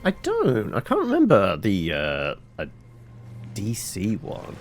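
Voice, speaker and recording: A man's voice: on the low side, huge pitch swings, slow pace, soft background music. A man giving casual, chatty gameplay commentary as a let's player. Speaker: video game let's player.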